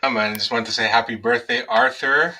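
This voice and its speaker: Bright, sharp-toned man in his forties. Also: mid-range voice, steady tone, brisk, faint music behind. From Canada. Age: 40s. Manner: joking, affectionate, loose. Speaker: guest on a live stream. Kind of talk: casual chat.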